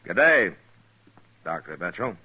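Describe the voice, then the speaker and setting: An actor playing a calm, laconic frontier marshal in a 1950s radio western. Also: male voice, sits low, a little slow, heavily acted, poor audio. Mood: dry, measured. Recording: old 1950s radio recording, faint hiss.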